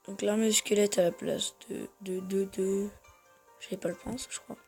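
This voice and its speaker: A man's voice: high voice, fairly even intonation, moderate pace, gravelly, a little mellow, faint music behind. A man with a head cold giving rambling, hesitant gameplay commentary to his viewers. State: has a head cold, congested. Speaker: gamer.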